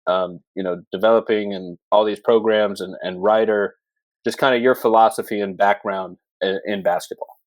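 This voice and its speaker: Low-pitched man hosting a casual podcast. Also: steady tone, moderate pace, no room sound, low-quality recording. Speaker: podcast host. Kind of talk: casual interview with long introduction.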